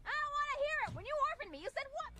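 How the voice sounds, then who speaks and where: An actress voicing an excitable young woman in an animated film, in a clip with music behind. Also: very high voice, fast, slight background noise, heavily acted. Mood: indignant.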